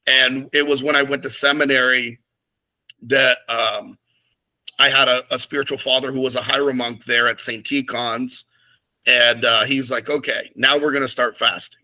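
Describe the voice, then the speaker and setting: A man's voice: mid-range voice, steady tone, moderate pace, sharp tone, rough recording. A man, a priest being interviewed, talking frankly about his past with many restarts and fillers. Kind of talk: casual interview conversation.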